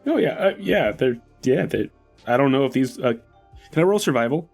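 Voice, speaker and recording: A man's voice: medium pitch, animated, moderate pace, creaky, a little mellow. Man as a tabletop gamer, mid-range voice, casually improvising and puzzling things out aloud over music. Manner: questioning, thinking aloud. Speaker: tabletop game player. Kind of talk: casual improvised roleplay chat. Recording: music behind the voice.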